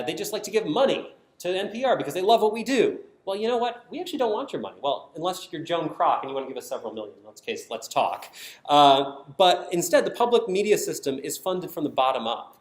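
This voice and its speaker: Man presenting in an echoey room. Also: on the high side. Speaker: conference presenter, design team member at a public radio network. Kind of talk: prepared conference presentation. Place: indoor conference talk.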